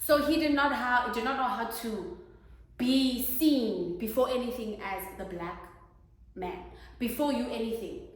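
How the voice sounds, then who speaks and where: A young South African woman hosting a casual chat, expressive, laughing often and dropping in isiXhosa words. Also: on the high side, unhurried. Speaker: host. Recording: echoey room.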